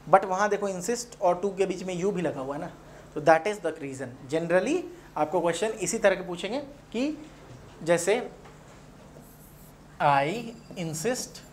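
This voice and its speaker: Indian male grammar teacher, noisy recording. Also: high voice. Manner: explanatory, repeats key points and examples. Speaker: English grammar teacher at an exam coaching class. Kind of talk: informal classroom lecture with example sentences.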